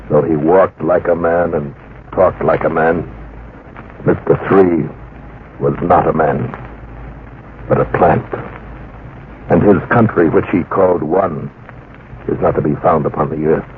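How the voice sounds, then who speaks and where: An actor playing a solemn expedition surveyor who recounts his ordeal deliberately, in old radio drama style. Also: a bass voice, a little hiss or hum. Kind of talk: 1940s-50s radio drama narration. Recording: muffled old radio recording, music underneath.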